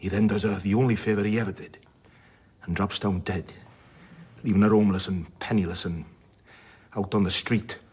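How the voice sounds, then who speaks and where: An actor playing a sardonic, blunt, worldly doctor in a radio drama, wry and plain-spoken with a husky voice. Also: male voice, on the low side, steady tone, normal speed, pauses often, darkish tone. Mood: grave, heartfelt. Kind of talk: radio drama acting with narration.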